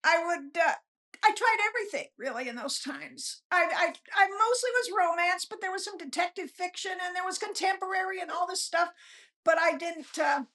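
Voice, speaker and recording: Older lady, really high voice, rambly and self-deprecating, chatting as a guest author. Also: normal speed, pushed and bright. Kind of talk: casual interview chat.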